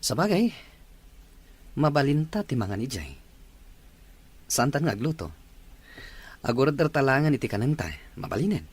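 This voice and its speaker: A man's voice: mid-range voice, sing-song, normal speed, pauses often, breathy edge. A man playing an easygoing drinking buddy in an Ilocano audio drama.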